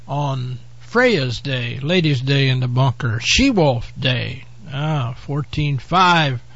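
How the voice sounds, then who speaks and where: A hoarse man hosts his own show, fighting off a head cold as he talks. His voice sits a little high for a man, and he rambles, with plenty of "uh"s and offhand asides. The sound is dry and slightly muffled. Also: no echo. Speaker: host. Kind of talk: casual talk-show monologue.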